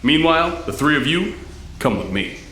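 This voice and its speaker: A mutant rebel leader, earnest and solemn, with a rather high, very expressive voice. He speaks emphatically in a roomy space with faint noise behind, like a cartoon drama hero. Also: normal speed. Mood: firm, commanding. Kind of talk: animated audio drama acting.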